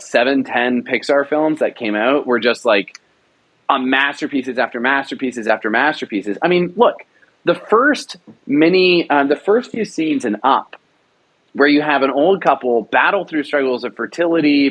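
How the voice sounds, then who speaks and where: A man's voice: mid-range voice, poor audio. Earnest man talking about story craft. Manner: earnest, emphatic, repeats words while thinking. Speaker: audio drama producer. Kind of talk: passionate conversational interview.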